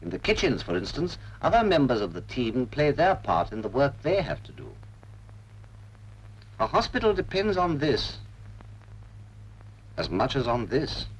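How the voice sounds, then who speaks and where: A man's voice: mid-range voice, huge pitch swings, a little slow, pauses often, breathy edge, low-quality recording. A British man reading a scripted 1940s public information film narration.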